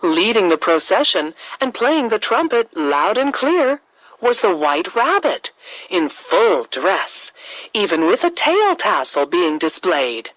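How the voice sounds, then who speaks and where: A woman's voice: medium pitch, sing-song, takes it slowly, a bit bright, no room sound, poor audio. A woman reading scripted narration for a children's story as the storyteller.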